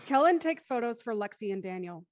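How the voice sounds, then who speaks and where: A woman's voice: on the high side, expressive, a little fast. A woman reading scripted scene narration for an audio play as its narrator.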